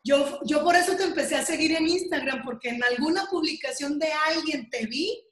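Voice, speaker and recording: A Mexican woman with a high, bright pitch hosts an interview and keeps the conversation flowing. She talks in a chatty way, often pausing on "este". Her voice rings in a noticeably echoey room. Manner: chatty, with many "este" fillers. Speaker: interview host. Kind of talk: video call interview.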